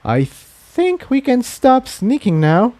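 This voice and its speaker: A man puts on a young female pony for a fantasy story. The voice is pitched well up, timid yet capable, with a bright edge softened into a light, girlish delivery in a very dry recording. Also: huge pitch swings, slow pace, no room sound, heavily acted. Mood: relieved, curious.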